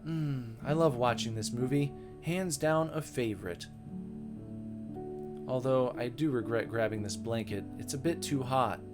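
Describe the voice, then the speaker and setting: A man voicing an excitable, chatty young woman in a visual novel, gushing and sometimes halting shyly. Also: medium pitch, music in the background, lightly acted. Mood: contented.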